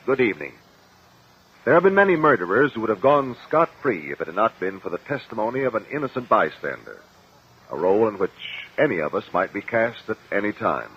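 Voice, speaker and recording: A man's voice: on the low side, very animated, a little fast, breathy edge, heavily acted. An actor playing a grave male lawyer who introduces crime stories in 1950s radio style. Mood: solemn. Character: grave, measured male criminal lawyer and crime-story host. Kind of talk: 1950s radio drama narration. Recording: dull lo-fi old radio recording.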